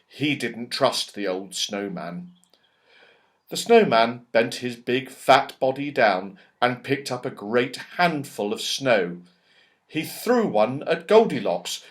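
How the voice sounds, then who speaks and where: A man narrating a children's story as an audiobook, expressively, with dramatic emphasis and storyteller's phrasing. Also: mid-range voice.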